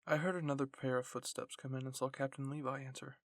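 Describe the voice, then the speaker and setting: A man reading a scripted first-person story in ASMR style, softly and in measured phrases. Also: mid-range voice, little pitch movement, no room sound, studio quality. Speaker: narrator and reader.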